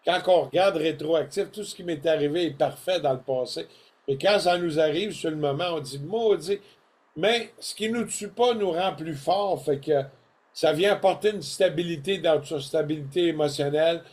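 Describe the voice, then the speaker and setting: A man's voice: a little high, moderate pace. An older man with a Québécois French accent, chatting casually on a podcast in a folksy, storytelling way. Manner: folksy, animated storytelling with colloquial asides. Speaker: co-host presenting products.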